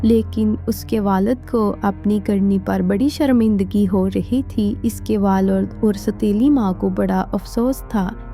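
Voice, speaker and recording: A woman narrates a scripted fairy tale at a slow pace. Her voice is mellow and medium in pitch, with faint music underneath. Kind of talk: scripted storytelling narration of a Hindi moral tale.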